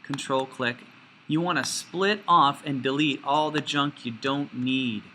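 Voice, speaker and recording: Male teacher, bright crisp-edged voice, informal screencast walkthrough, folksy and playful, slight background noise. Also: mid-range voice, unhurried. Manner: folksy, playful asides and sound words. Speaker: teacher giving a software tutorial. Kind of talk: informal step-by-step screencast instruction.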